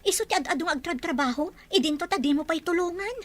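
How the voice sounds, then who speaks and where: A woman's voice: very high-pitched, fast talker. A woman playing a strict, disapproving mother in a radio drama. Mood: scolding. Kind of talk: Filipino radio drama acting.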